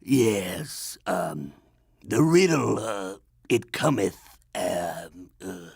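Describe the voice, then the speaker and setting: Gravelly, very deep, pompous riddle master. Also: male voice, lively intonation, darkish tone, no room sound, studio quality, full character voice. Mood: flustered. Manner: pompous, archaic, halting. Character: theatrical, menacing riddle master guarding a cartoon bridge.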